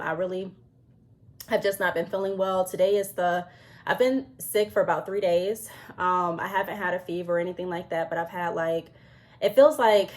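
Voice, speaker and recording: A woman in her thirties with a somewhat low voice, audibly congested and under the weather. She talks to camera in a loose, chatty way, full of ums, and reads from her notes now and then. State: congested, sick with a cold. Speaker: business vlogger. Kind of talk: casual advice talk to camera.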